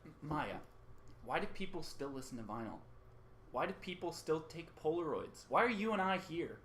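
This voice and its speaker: A man's voice: fairly high pitch, animated, normal speed, a bit bright, slight background noise. A man acting as an earnest, conciliatory radio co-host in an amateur radio play, placating in manner. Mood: earnest pleading.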